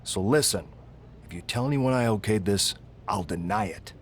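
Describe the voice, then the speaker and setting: A man's voice: on the low side, moderate pace, slight background noise, close to an everyday voice. An actor playing a stern but fatherly wrestling general manager, firm and dismissive, in a video game cutscene.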